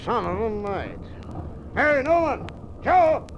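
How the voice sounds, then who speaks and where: A man's voice: very high voice, very animated, takes it slowly, full character voice. An American man acting a pushy, self-important party official in a 1950s radio drama, blunt and overbearing. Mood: domineering. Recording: noisy old 1950s radio broadcast.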